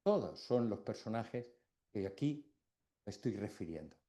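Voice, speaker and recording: A middle-aged man from Extremadura giving a formal academic induction speech, reflective, with pauses between phrases. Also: on the low side, very animated, warm tone. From Extremadura, Spain.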